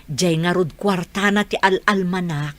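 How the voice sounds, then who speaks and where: A woman's voice: on the low side, measured pace, no room sound, natural voice. A woman with an Ilocano accent playing a brash, scheming, money-minded mother in a radio drama. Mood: scheming, insistent. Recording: background music under the voice.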